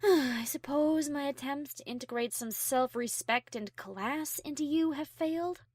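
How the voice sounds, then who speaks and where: A woman playing a haughty, high-class female pony who is prim, formal and easily flustered, in an audio drama. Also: unhurried, no room sound, clean studio sound. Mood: prim, exasperated. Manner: prim, formal, refined diction. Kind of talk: fan audio drama character acting.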